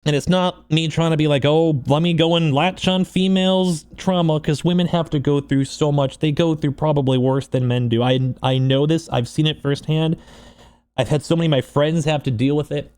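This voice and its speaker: An upset man with a voice a little higher than average, talking unscripted to his viewers. He sounds close to tears, speaks haltingly and often repeats words as he earnestly works through his thoughts. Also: fairly even intonation, a little mellow, clear, resonant tone. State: emotional, upset, close to crying. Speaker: streamer and video creator. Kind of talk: unscripted personal vlog monologue.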